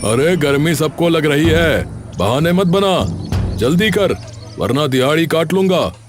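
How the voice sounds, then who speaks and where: An impatient cartoon boss, played by a man, scolding in a raised, swooping voice. He is bossy and demanding, with music clearly behind him. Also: a little high, lively intonation, measured pace. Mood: angry, scolding. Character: bossy, impatient male food-stall boss. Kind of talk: Hindi cartoon drama acting.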